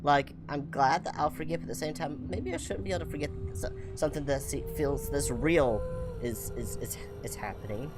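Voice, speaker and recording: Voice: mid-range voice, normal speed. A playful, chatty streamer giving casual game commentary, musing aloud and reading the text out. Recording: game music under the voice. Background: game music.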